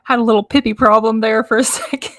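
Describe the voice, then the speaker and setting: A woman hosting a casual live stream, chatty and rambling, with a hoarse, crackly, nasal voice from congestion. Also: on the high side, steady tone, fast talker, fairly bright tone. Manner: chatty, rambling, laughs often. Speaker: host. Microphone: microphone.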